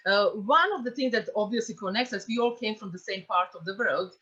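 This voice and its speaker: A woman's voice: medium pitch, expressive, brisk, bright, forceful tone, slightly rough. Hesitant woman host. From Serbia. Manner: hesitant, many fillers. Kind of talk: live online interview. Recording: dull lo-fi sound.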